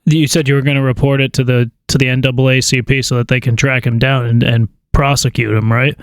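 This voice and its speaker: A man chats casually on a phone call in a smooth, clear voice with little range in pitch. He stammers and hesitates, informal throughout, and the sound is dry. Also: flat delivery, brisk, no room sound. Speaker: prank caller.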